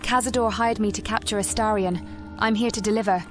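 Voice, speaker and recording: An actress playing a direct, composed adventurer in a fantasy video game. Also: female voice, medium pitch, steady tone, a little fast, music in the background, lightly acted. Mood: bluffing, firm. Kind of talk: cinematic video game voice acting.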